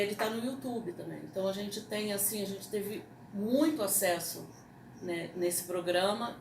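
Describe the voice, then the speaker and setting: A Brazilian woman radio producer explaining things casually in an interview. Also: mid-range voice. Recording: echoey room, distant mic, background noise.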